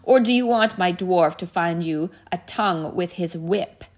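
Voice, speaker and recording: A woman's voice: fairly low pitch, a little slow. A woman voicing a cruel, commanding witch queen who speaks with menace and anger in a storybook reading. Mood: angry demanding.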